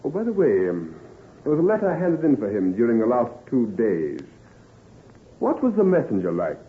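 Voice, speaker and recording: A brisk doctor and trusted friend in a vintage radio play. His mid-range voice rises and falls freely with emphatic assurance. It sounds narrow, like an old broadcast. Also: medium pitch, huge pitch swings. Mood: brisk, inquiring. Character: male doctor, brisk, solicitous friend and adviser. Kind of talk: old-time radio drama acting. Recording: tinny old-time radio recording.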